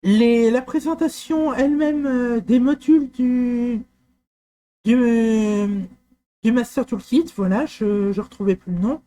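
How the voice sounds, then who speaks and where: A man from France talking through a software walkthrough, hesitant with frequent fillers and restarts. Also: very high-pitched, fairly even intonation, slow. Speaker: software tutorial presenter. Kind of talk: screen-recorded walkthrough.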